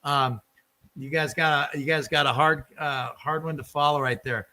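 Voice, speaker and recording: A man's voice: a little high, fairly even intonation, moderate pace, sharp tone. A man hosting a sports fan podcast, chatting casually and informally with enthusiasm. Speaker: podcast host.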